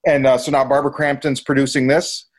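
A man's voice: medium pitch, flat delivery, quick pace. A man reviewing movies casually as a podcast co-host, enthusiastic and swearing freely.

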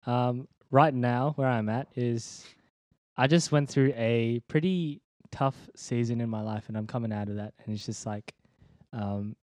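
An upbeat young man in his twenties chats loosely as a podcast guest, full of slang. His pitch is ordinary, and the sound is dry and close on the mic. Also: measured pace, a little mellow, no echo. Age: 20s. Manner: upbeat, slangy, laughs between phrases. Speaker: church leader, guest. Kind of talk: casual podcast chat. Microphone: podcast microphone.

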